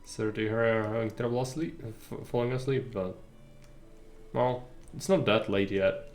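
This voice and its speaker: A man streaming a game live, chatting in a calm, relaxed way with his viewers. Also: on the low side, moderate pace, soft background music. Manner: relaxed, chatty, addresses chat. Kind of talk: casual live gameplay commentary.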